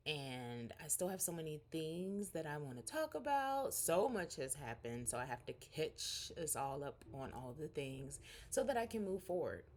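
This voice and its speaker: A woman in her forties talks to her vlog audience from home in a candid, rambling, chatty way. Her voice sits low, and a faint room hum runs underneath. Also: big pitch swings, normal speed, hardly any pauses, a little mellow. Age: 40s. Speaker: vlogger. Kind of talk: casual catch-up vlog.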